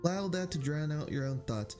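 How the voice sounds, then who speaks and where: A man's voice: on the high side, lively intonation, brisk, darkish tone, natural voice. A man reading as a breezy, poetic young male bard. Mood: encouraging. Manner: whimsical, flowery, stumbles over read lines. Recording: game music behind.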